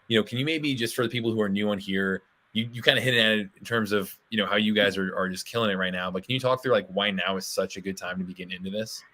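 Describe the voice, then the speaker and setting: A man hosting a live online training in a fairly low voice. He sounds a little hoarse and speaks in a promotional way, padding his sentences with ums and you-knows. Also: fairly bright tone. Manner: promotional, filler-heavy. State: slightly hoarse. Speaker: co-host.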